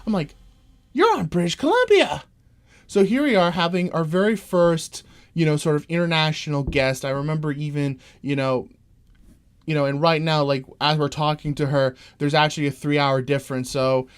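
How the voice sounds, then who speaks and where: A man's voice: on the high side, moderate pace, smooth. Eager, rambling Canadian man hosting a podcast. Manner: eager, rambling with many fillers. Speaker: podcast host. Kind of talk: enthusiastic podcast intro and interview.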